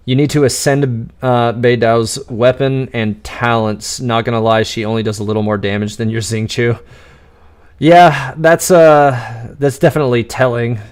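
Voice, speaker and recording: A man hosting a live-stream Q&A, answering chat questions in an exuberant, radio-like way and enunciating words crisply despite quick restarts. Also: medium pitch. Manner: talks fast with frequent restarts and laughs.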